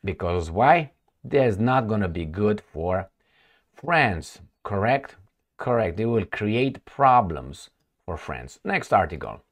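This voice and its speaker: A man's voice: lowish voice, big pitch swings, takes it slowly. A man giving casual, sarcastic commentary on news he reads aloud, breaking into asides and mock dialogue.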